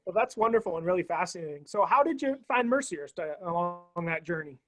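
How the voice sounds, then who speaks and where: A man's voice: sits high, animated, brisk, dry recording, faint music behind. A man hosting a university video call talk, giving friendly announcements and introducing a guest. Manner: friendly, housekeeping announcements with fillers. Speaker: university webinar host.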